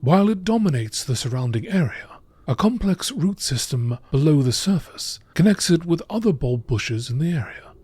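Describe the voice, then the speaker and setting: A man narrating scripted nature documentary entries in a measured, formal, deliberate way, with a husky, breathy voice. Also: a little high, big pitch swings, darkish tone, no echo. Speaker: narrator reading game lore entries.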